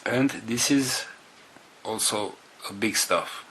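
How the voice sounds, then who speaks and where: A man leading a group, making organizational announcements in halting, non-native English broken into short phrases with pauses. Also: fairly low pitch, fairly bright tone, a little hiss or hum, poor audio.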